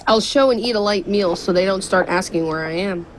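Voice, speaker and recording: An actress playing a composed, discreet young royal woman in an audio drama, measured and poised. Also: medium pitch, close to an everyday voice, poor audio. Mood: guarded. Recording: clear background noise.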